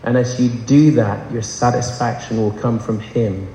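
A man's voice: mid-range voice, steady tone, measured pace, dark tone, slight background noise. A man preaching a sermon to a congregation, impassioned, often asking the room rhetorical questions. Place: large church hall. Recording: echoey church hall with long reverb.

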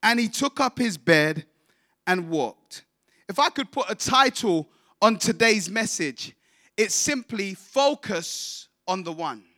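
Casting: male guest preacher from England, high forceful voice, declamatory sermon delivery, prompts the congregation to echo him. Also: animated, slow pace. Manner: declamatory, calls on congregation to repeat. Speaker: visiting preacher.

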